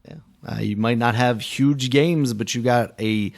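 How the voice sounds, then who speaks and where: A man's voice: medium pitch, a little slow, no room sound. A man co-hosting a gaming podcast in casual chat, joking and teasing his co-host.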